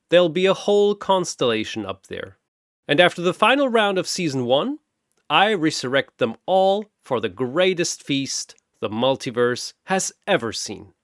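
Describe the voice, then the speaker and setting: A man's voice: on the high side, fairly bright tone, no echo, studio quality. A man voicing an almighty, gleefully mocking god who announces arena fighters in a grandiose, theatrical showman's style. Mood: grand. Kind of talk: bombastic showman ring announcing.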